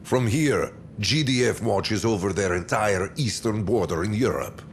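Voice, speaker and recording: An actor playing a stern, calculating military commander in a game cutscene, speaking with measured, deliberate menace. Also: male voice, fairly low pitch, expressive, slight background noise. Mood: cold, measured menace. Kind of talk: dramatic scripted game briefing.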